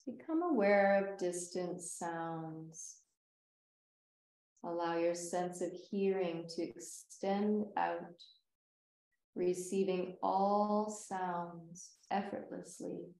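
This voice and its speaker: A woman leading a guided meditation as a yoga nidra teacher, speaking in a soothing, gentle, unhurried way with long pauses. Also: lowish voice, vocal fry, reverberant, low-quality recording.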